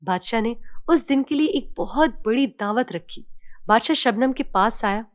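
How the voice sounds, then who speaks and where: A woman reads a children's story as its narrator. Her voice is fairly high and very animated, recorded dry with no room sound. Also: unhurried, no room sound.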